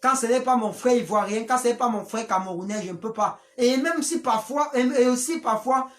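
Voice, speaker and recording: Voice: mid-range voice, fairly quick. A speaker, giving a rambling, preachy live-stream address to followers, with many restarts. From Africa.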